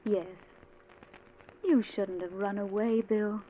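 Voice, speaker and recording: Actress doing death as a pretty gentle woman, 1940s radio play, coaxing and calm, tinny with some hiss. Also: medium pitch, natural voice. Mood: calm, tender. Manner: soothing, softly insistent, measured. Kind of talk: 1940s radio drama acting.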